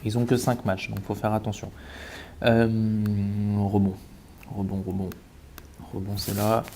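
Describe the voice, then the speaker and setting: A man with a stuffy cold talks slowly through sports numbers, muttering to himself and repeating words as he checks them. His low, mellow voice stays flat, and a faint hiss of room noise sits underneath. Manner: eating while talking. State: has a cold. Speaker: sports betting analyst. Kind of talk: casual thinking-aloud match analysis.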